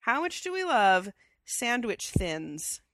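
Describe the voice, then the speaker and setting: A woman's voice: fairly high pitch, sing-song, takes it slowly, a bit bright, no room sound. A woman co-hosting a casual chat show, with a stuffy head cold and a chatty, enthusiastic way of talking. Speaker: podcast co-host.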